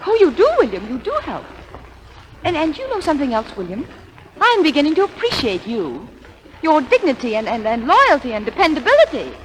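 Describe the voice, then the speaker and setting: A proud, wounded actress. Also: female voice, sits high, huge pitch swings, a little fast, rough recording. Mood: heartfelt. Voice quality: earnest, emotional. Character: woman, divorced actress, wounded but proud. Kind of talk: 1940s radio acting. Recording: faint hiss of old radio.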